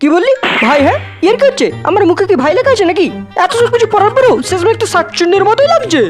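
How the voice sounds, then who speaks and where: Woman doing a cheeky young cartoon guy, really high voice, talks fast, music behind. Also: lively intonation. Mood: indignant. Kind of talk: Bengali comedy cartoon dubbing.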